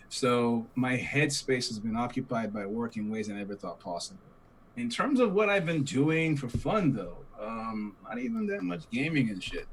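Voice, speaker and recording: A man's voice: medium pitch, fairly bright tone, slight background noise, poor audio. A man co-hosting a podcast, talking in a casual, rambling way and laughing at his own stories. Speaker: podcast co-host. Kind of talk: casual chat.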